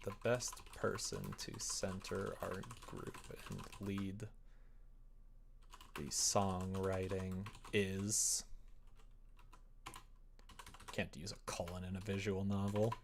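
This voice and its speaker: A man's voice: sits low, level tone, slow pace, vocal fry, long pauses, slight background noise. A young man chatting casually with stream viewers while he works at his computer, sometimes muttering to himself. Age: young adult. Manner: muttering to himself while typing, then livelier replies. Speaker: livestreamer writing a visual novel. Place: indoor room at a computer desk.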